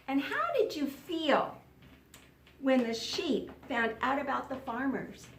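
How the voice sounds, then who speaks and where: A woman's voice: high-pitched, a bit bright. A woman teacher giving a read-aloud lesson for children, telling the story expressively and putting questions to her listeners. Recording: roomy, echoey sound.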